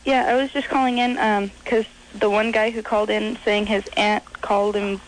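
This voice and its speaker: A woman's voice: mid-range voice, flat delivery, normal speed, slight background noise, rough recording. A woman calling in to a radio talk show, chatty and a bit hesitant. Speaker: caller. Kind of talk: call-in radio chat.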